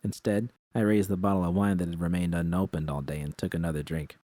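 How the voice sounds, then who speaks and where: Dark, deep-voiced male story narrator. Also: fairly even intonation, quick pace, no echo. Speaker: audiobook narrator. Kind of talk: scripted first-person fiction narration.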